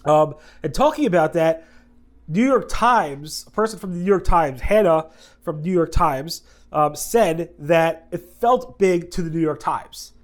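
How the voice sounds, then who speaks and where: A congested man with a head cold, hosting a casual video news recap in a rambling way, often correcting himself and adding quick asides. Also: a little high, animated.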